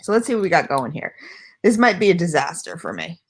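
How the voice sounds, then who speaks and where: A woman's voice: lowish voice, lively intonation, a little fast, husky. A woman chatting casually at home as she reviews a product for her channel, rambling and self-deprecating, with lots of fillers. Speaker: vlogger reviewing e-liquids. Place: at home, not her usual setup.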